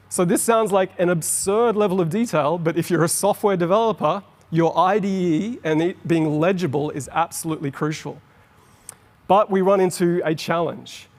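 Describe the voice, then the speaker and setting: Australian man presenting in a reverberant hall. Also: a little high. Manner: explanatory, enthusiastic, quickens on asides. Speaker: conference presenter. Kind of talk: keynote presentation. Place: large conference hall on stage.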